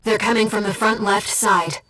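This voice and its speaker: Woman acting as gentle female inner-voice companion, video game mission support, earnest, precise, medium pitch, slightly distant sound. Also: steady tone, moderate pace, soft background music, natural voice. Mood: composed, focused. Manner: composed, precise, earnest delivery. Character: gentle female voice in a pilot's head, earnest, devoted.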